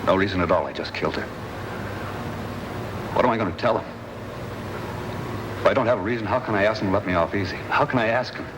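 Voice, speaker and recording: A man's voice: fairly low pitch, fast, long pauses, roomy sound, long reverb tail, close to an everyday voice. An actor playing an evasive, defensive male murder suspect in a 1950s radio drama. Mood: defeated. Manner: evasive, defensive, then flat confession. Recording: hissy old radio broadcast.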